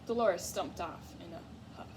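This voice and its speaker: A woman reading a children's story aloud in an expressive narrating style. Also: fairly low pitch, normal speed. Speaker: storybook reader. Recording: clear background noise.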